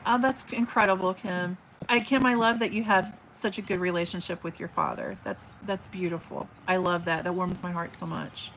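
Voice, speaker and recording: A woman's voice: fairly low pitch, fairly even intonation, normal speed, few pauses, fairly bright tone, a little hiss or hum, rough recording. A woman in her forties hosting a casual livestream, reading viewer comments in a chatty, affectionate way with a big teacher voice. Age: 40s. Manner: chatty and affectionate, frequent fillers and restarts. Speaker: livestream host. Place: at home by an open window, birds outside.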